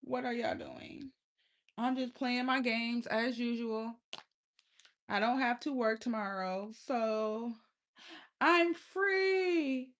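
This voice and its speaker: A woman's voice: a little high, slow pace, slight background noise. A woman with a lisp, hosting a casual livestream. She chats loosely with her viewers, swears freely and often laughs. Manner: loose, profane and laughing, talks straight to chat. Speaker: livestream host.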